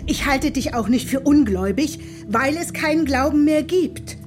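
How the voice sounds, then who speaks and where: A woman's voice: sits high, expressive, moderate pace. A prim, haughty mother. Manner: prim, indignant, clipped. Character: prim, haughty mother, fussy and machine-devoted. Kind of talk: radio play acting. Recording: music behind.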